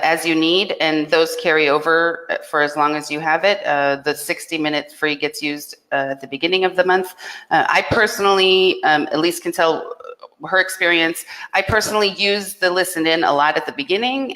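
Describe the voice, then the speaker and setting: A woman's voice: fairly low pitch, fairly bright tone. A woman co-hosting a casual live Q&A and explaining things in a matter-of-fact way, recorded with a long room echo. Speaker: co-host answering technical questions.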